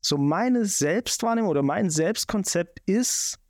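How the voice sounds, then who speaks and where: A man's voice: high-pitched, lively intonation, measured pace, no room sound. Rambling Swabian man, dry close mic. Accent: Swabian German. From Germany (Swabia). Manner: rambling, many restarts and fillers. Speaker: podcast host. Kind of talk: casual podcast discussion.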